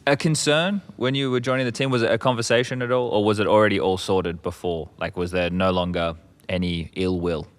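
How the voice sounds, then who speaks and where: A man with a lower-pitched voice hosts a relaxed esports roundtable. He talks quickly, nudging players with playful questions. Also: clean tone. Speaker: host. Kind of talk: casual esports roundtable chat.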